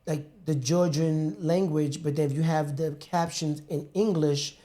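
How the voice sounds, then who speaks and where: A male talk-show host with a mellow, relaxed tone chats casually with his guest. His questions ramble in a colloquial, easygoing way. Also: fairly high pitch, unhurried. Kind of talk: casual interview chat.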